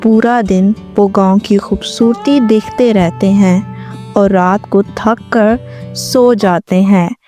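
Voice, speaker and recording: A woman reads a scripted story as narrator. Her pace is very unhurried and her pitch is mid-range. Music plays behind the voice throughout. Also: fairly even intonation, dark tone.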